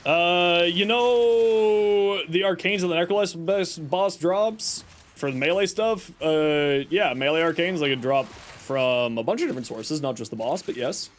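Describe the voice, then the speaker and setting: Self-deprecating gamer man, voice going hoarse. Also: sits high, measured pace. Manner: self-deprecating, rambling with fillers and restarts. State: losing his voice. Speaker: streamer. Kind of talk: casual live-stream chat answering viewers.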